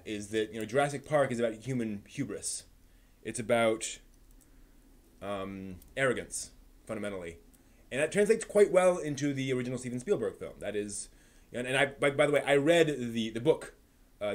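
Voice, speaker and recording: A man hosting a live stream, talking off the cuff in a discursive, opinionated way, often repeating words as he thinks aloud. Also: medium pitch. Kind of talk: unscripted opinionated chat about books and films.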